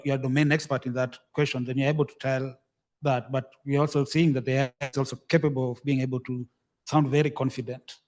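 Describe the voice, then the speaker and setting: Flat-toned man posing a tentative question. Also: mid-range voice. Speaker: panel participant asking a question. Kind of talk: conversational questioning.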